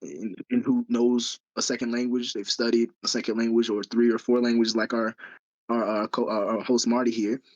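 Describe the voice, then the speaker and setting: Co-host, a man, lively casual chat with a slightly lo-fi recording. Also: mid-range voice. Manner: animated, mixes in quick Japanese phrases. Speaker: podcast co-host.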